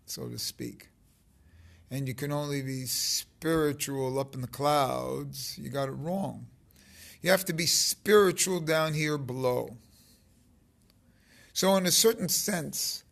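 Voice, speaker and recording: A man in his fifties giving an informal spiritual talk to his phone, halting and rambling, with emphatic stresses and a gravelly voice. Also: fairly high pitch, a bit bright. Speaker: spiritual teacher. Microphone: phone held close at arm's length.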